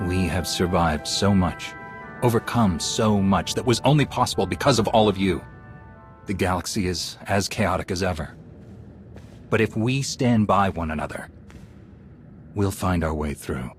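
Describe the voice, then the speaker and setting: Male actor as Jedi commander, low pitch, resolute, measured, earnest, video game drama, music behind. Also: lightly acted. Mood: calm, sincere.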